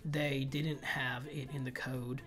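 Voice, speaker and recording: A man's voice: mid-range voice, flat delivery, slow, fairly bright tone. The man you'd hear on a gaming channel's theory video, rambling casually through his guesses with a slight speech impediment while background tracks play along. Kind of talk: casual video commentary, speculating. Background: background music.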